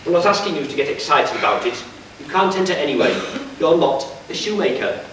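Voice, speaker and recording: Recorded from far off in an echoing hall, a man acts a grumbling pantomime king. His highish voice swings widely as he declaims, bossy and sardonic. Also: expressive, brisk, fairly bright tone. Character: grumbling bossy king, exasperated father of lazy prince. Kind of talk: amateur pantomime stage acting. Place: church pantomime stage, far camera. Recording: echoey distant noisy amateur stage video.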